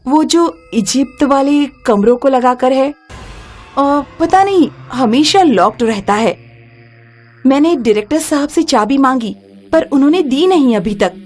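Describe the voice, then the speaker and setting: A woman's voice: sits high, expressive, unhurried, a little mellow, soft background music. A woman voicing a bold, curious young museum worker in a horror story, impatient and teasing. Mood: teasing, insistent. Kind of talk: animated horror story character acting.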